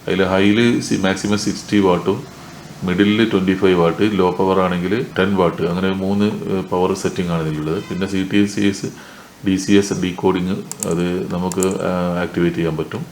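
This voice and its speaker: A man's voice: sits low, level tone, a little fast, a little mellow. A man with a Malayali accent gives an unscripted technical tutorial in an instructional way, mixing in English technical terms. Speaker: tech tutorial presenter. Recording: echoey room with faint noise.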